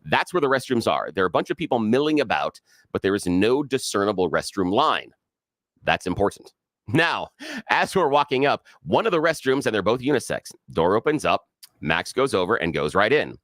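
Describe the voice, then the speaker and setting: A man co-hosting a talk radio show, telling a story in an animated way and acting out the other people's voices. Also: fairly low pitch, moderate pace, no room sound, clean studio sound. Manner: animated, acts out the quoted voices. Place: radio studio. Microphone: studio microphone.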